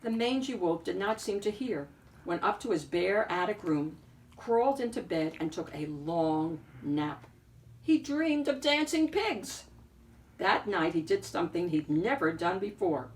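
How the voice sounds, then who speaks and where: Lady narrating a kids picture book, lowish voice, expressive w emphatic bits, sounds a bit far from mic. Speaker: picture book reader and host. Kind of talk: expressive storybook read-aloud narration.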